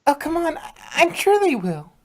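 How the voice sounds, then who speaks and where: A woman's voice: high voice, big pitch swings, normal speed, a little mellow. A woman voicing a bubbly, earnest female cartoon pony who encourages in a sing-song style. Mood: cheerful, urging.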